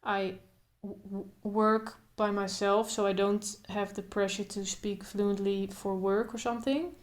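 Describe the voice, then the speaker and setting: Young woman, open stutter, repeated sounds and blocks, slow unhurried pace, flat even pitch, calm reflective vlog talk. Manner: thoughtful, calm, speaks in short phrases with pauses. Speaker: vlogger. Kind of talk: casual talk to camera.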